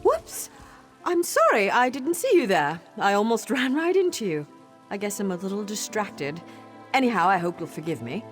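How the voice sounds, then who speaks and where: A woman voices a flustered, bookish young woman in a fantasy video game. She is polite, with a very animated, swinging pitch. Faint music sits behind the voice. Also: normal speed, close to an everyday voice. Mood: flustered, apologetic. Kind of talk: video game character acting.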